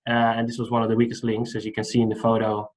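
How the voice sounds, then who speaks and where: A man in his thirties from the Netherlands with a Dutch accent, giving a relaxed online lecture over a video call with many fillers. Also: medium pitch, quick pace, a little hiss or hum, poor audio. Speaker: guest lecturer.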